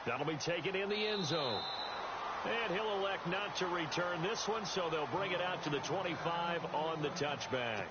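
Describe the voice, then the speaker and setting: A man's voice: fairly high pitch, expressive, moderate pace, natural voice. A man calling a football game as a play-by-play commentator, stressing the big plays. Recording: muffled game stream, loud background noise.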